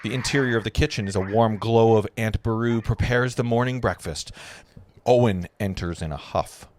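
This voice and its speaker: A man's voice: on the low side, normal speed, darkish tone, slight background noise. A man narrating stage directions at an amateur table read, sometimes stumbling over the words.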